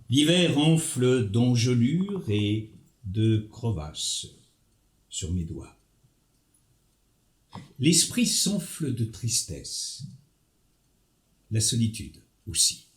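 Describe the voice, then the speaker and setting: A man reading prose poetry aloud in a slow, deliberate recitation. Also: lowish voice. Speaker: poetry reader. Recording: echoey hall.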